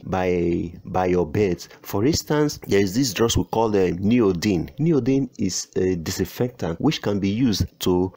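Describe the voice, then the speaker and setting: A man, a poultry farmer, giving a slow and deliberate instructional voice-over, with pauses, fillers and restarts. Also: on the low side, darkish tone.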